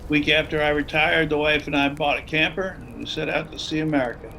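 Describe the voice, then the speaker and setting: A man's voice: a little high, steady tone, brisk, vocal fry, sharp tone, a little hiss or hum, rough recording. Man playing folksy old widowed survivor. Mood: wistful, sad. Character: older retired salesman, widowed, folksy survivor. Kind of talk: table-read drama acting. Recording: video-call read over music.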